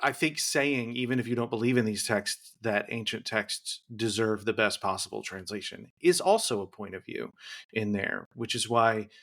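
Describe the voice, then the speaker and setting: Gravelly, bright-voiced male podcast host. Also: lowish voice. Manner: rambling, teasing, quick to joke. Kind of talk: casual podcast conversation.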